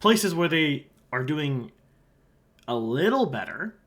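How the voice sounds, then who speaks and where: A man's voice: fairly high pitch, sing-song, slow. A man from Halifax with a sore throat, giving casual solo commentary as he walks through an election forecast map. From Halifax, Canada. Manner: casual and conversational, drops quieter aside asides while pointing at a map. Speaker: election analyst, channel host.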